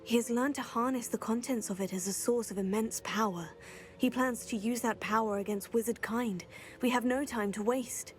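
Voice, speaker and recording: A woman's voice: on the high side, steady tone, moderate pace, soft background music, close to an everyday voice. An actress playing an earnest, poised young witch student in a video game, articulate and polite. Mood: earnest, assured. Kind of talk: scripted video game acting.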